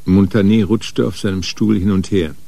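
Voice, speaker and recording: A man narrating a radio crime drama in a measured, matter-of-fact way. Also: low voice, steady tone, gravelly, mellow. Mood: sober. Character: sober crime story narrator.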